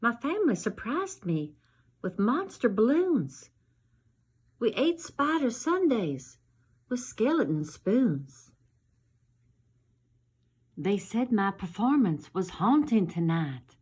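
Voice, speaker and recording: Woman playing a little zombie girl, eager ballerina, high-pitched, slow theatrical storybook delivery. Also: very animated, darkish tone, breathy edge, heavily acted. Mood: proud, content. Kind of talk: children's storybook reading.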